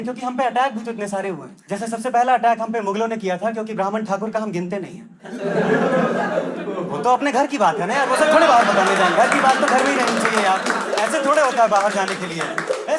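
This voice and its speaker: A man's voice: sits high, fast talker, heavy background noise. A young man from Delhi doing a conversational stand-up comedy set and briefly acting out the voices of locals. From Delhi, India. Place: comedy club stage with live audience. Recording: live crowd laughter. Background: audience laughter.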